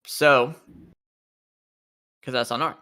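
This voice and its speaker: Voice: medium pitch, fairly even intonation, takes it slowly, pushed and bright. A tabletop roleplay player chatting casually and narrating combat moves, with slangy, boastful talk and swearing.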